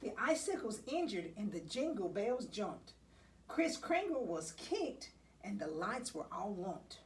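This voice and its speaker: Woman, children's read-aloud, animated pitch, unhurried pace, dramatic pauses, distant mic. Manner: dramatic, expressive storytelling with deliberate pauses. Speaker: assistant principal reading aloud to children. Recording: distant mic, faint background noise.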